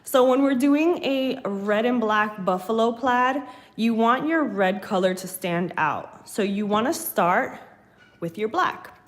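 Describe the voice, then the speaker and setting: A sniffly young woman, still getting over a cold, walks viewers through a craft step by step. She speaks slowly and chattily, talking to her audience as she works. Recorded on a phone held above her craft table at home. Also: a little high, animated. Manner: slow, chatty, talks to viewers while working. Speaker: craft channel host. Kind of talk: live craft tutorial, casual.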